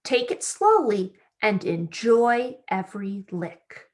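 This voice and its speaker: A woman's voice: mid-range voice, expressive, slow. A woman voicing a friendly, welcoming little storybook character with a sing-song delivery.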